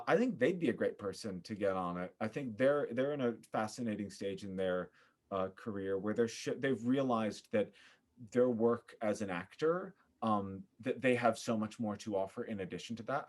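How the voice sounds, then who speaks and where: A man speaking as a podcast guest in a casual interview, earnest and effusive, often pausing to think. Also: lowish voice, expressive, darkish tone. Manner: earnest, effusive, halting with thoughtful pauses. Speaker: podcast guest, theater performer.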